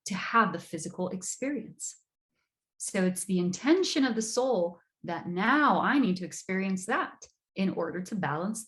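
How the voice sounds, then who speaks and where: A woman from Brazil giving an online astrology lecture, measured and emphatic, repeating words for stress. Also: medium pitch.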